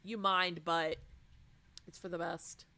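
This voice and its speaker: A young woman streamer reading a visual novel aloud expressively, with dramatic, casual, animated asides. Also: fairly low pitch, takes it slowly.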